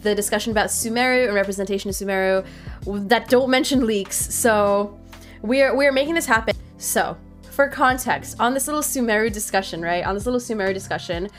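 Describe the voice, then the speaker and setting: A young woman from Nepal, a student, talking earnestly to her viewers in a casual opinion vlog, filling her sentences with "like". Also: brisk. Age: young adult. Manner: earnest, heavy use of "like", animated pitch jumps. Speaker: vlogger. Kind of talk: casual opinion talk to camera. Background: faint background music.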